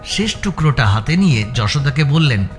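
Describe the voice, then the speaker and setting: A man's voice: medium pitch, sing-song, moderate pace, soft background music. A man reading scripted story narration as an audiobook narrator.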